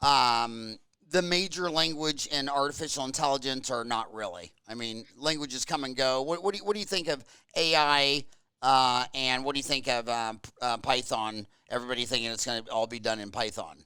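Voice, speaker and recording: A man hosting a conversational podcast interview, putting his questions in a halting way with repeated restarts. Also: mid-range voice, pushed and bright, smooth, no room sound.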